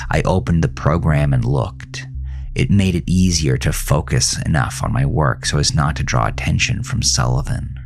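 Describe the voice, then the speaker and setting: Deep, gravelly man narrating horror. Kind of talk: scripted first-person horror narration.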